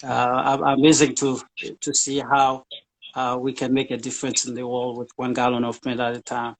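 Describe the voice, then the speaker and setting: A man's voice: medium pitch, completely flat delivery, moderate pace, poor audio. A man from Haiti, a charity founder being interviewed, talking casually with frequent fillers and restarts. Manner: hesitant, many fillers and restarts.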